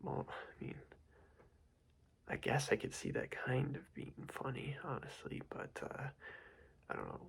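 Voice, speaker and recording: Gravelly whispering young man playing boss. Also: medium pitch, measured pace, pauses often, rough recording. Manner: casual, rambling scolding with many fillers. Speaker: ASMR creator playing a boss. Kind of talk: ASMR roleplay, whispered.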